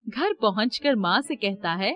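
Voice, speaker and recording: A woman's voice: mid-range voice, animated, unhurried, fairly bright tone. A woman reading scripted narration for a children's moral story.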